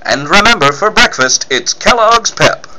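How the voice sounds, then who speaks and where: A man's voice: high voice, expressive, moderate pace, music in the background, poor audio. A man reading scripted narration as a dramatic 1940s-style radio serial announcer.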